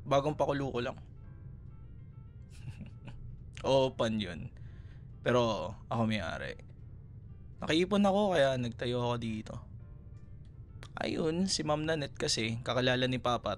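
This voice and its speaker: A man's voice: medium pitch, expressive, takes it slowly, long pauses, faint music behind. A young man with a Filipino accent playing a playful, teasing ex-boyfriend in a casual, conversational audio roleplay, slipping between two languages. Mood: casual, modest. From Philippines. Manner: teasing, chuckles often, Taglish code-switching. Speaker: roleplay voice performer.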